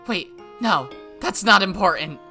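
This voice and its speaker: A woman voicing a frightened young girl who shouts frantically and makes demands. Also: mid-range voice, expressive, a little slow, faint music behind, pitched above the performer's own voice. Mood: frantic.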